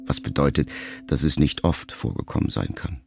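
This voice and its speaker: A man reading a letter aloud as an audiobook, in a measured, reflective way. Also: a bass voice, animated, gravelly. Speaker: narrator. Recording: loud music underneath.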